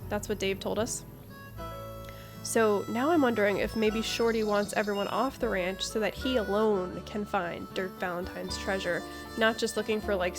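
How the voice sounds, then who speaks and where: A woman chats her way through a video game, reasoning aloud in an expressive, casual way. Her voice has a medium pitch, and music plays clearly behind her. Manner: chatty, expressive thinking aloud. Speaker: game streamer. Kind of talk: casual playthrough commentary.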